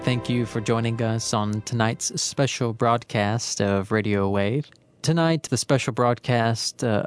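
A man's voice: medium pitch, clear, resonant tone, no room sound. A man presenting on radio in a polite, earnest, slightly hesitant broadcast introduction style. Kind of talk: semi-scripted broadcast introduction.

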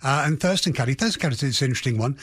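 A man speaking as an expert guest in a radio interview, analysing in a halting way with qualifiers and emphatic restatements. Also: medium pitch, lively intonation, fairly bright tone, husky, dry recording. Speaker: housing economist guest.

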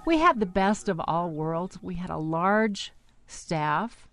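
A woman's voice: lowish voice, animated, slow, fairly bright tone, no room sound, faint music behind. A woman who worked as a radio reporter, reminiscing conversationally in an interview.

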